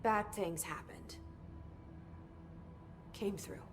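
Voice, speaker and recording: An actress playing a determined, guarded young director in a video game, speaking in a direct, restrained American voice. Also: female voice, drawn-out words, music in the background. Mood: hesitant, confiding. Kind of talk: video game cutscene acting. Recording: faint low background rumble.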